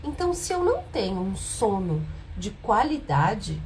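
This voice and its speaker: A woman with a cold hosts a live stream, her voice hoarse and nasal. She keeps it soft and lower than she normally would while she explains and asks her viewers questions. A faint noise sits behind her. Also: very animated, unhurried, poor audio. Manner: speaks softer and lower than usual on purpose. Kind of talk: informal health talk on a live stream.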